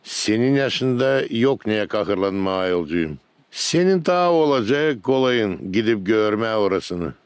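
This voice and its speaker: A man voicing a wise, reassuring older family man in an animated cartoon, telling history solemnly. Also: on the low side, measured pace, smooth, no echo. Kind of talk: solemn educational storytelling.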